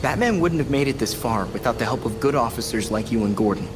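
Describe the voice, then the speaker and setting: A man's voice: mid-range voice, lively intonation, quick pace, music in the background, lightly acted. An actor playing an eager young male vigilante sidekick in a video game, with an earnest, boyish manner. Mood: determined, hopeful. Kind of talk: video game cutscene acting.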